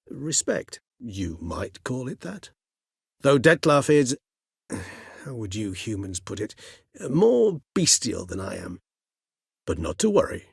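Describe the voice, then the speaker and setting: An ancient, learned vampire gentleman for a fantasy video game. His voice sits fairly low with a soft, husky grain, recorded close and dry. He speaks in courteous, eloquent, old-fashioned sentences with measured care. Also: no room sound, full character voice. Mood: explanatory, courteous. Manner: eloquent, formal, measured, archaic wording. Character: erudite, courteous, centuries-old male vampire gentleman scholar.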